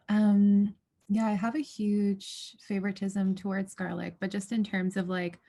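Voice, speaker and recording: A woman's voice: mid-range voice, darkish tone. A woman sharing in a casual video call. She talks in a chatty, loose way and fills gaps with "like". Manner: chatty, loose, with many likes. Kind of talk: casual online group check-in.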